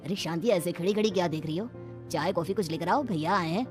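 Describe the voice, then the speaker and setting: A woman's voice: on the low side, fast, faint music behind, natural voice. Woman playing a familiar middle-aged family man. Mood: impatient. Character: hospitable, impatient middle-aged husband and father.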